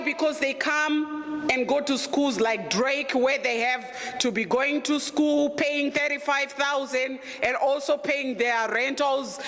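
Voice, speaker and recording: A middle-aged woman from Zimbabwe with a Zimbabwean accent and a deep voice, telling her own story as a guest presenter in a candid, humorous and emphatic way. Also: fairly bright tone. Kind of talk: personal storytelling talk. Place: lecture room. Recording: echoey room with long reverb.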